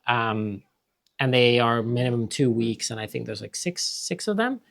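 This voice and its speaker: A man from Finland in his thirties, hosting a family vlog in relaxed, casual chat with fillers. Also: mid-range voice, moderate pace, vocal fry. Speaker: vlog host.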